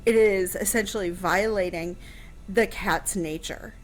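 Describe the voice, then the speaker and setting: A woman's voice: mid-range voice, unhurried, a little hiss or hum. A woman chatting casually on a radio show, answering a history question with lots of fillers and restarts.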